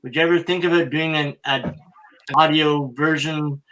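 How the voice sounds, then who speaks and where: A man asking questions casually, with a halting delivery. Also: a little high, steady tone, bright, forceful tone, slight background noise. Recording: slightly distant from the mic.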